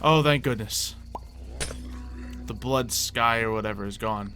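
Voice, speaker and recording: A man giving casual gameplay commentary, thinking aloud in a mild, halting way. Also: lowish voice, sharp tone. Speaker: gamer playing a Minecraft roleplay series. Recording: game music behind the voice. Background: game music.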